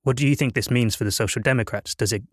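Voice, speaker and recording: A man's voice: medium pitch, fast talker, dry recording, studio quality. A man hosting a podcast, asking questions in a loose, conversational way with frequent fillers. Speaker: podcast host. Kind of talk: podcast discussion.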